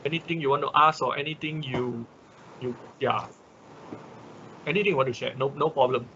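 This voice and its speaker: A man's voice: mid-range voice, brisk, sharp tone, lo-fi sound, slight background noise. A man working as an instructor in an online class, answering questions in a hesitant way, often checking with short confirmations. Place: online class over a computer call.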